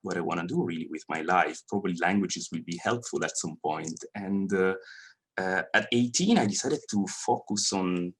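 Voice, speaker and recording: A man's voice: low-pitched, husky. A man in his thirties with a Swiss Italian accent, an animator giving a friendly, hesitant talk to students. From Italian-speaking Switzerland. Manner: hesitant, many fillers and restarts, friendly. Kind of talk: presentation to students. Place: college lecture room.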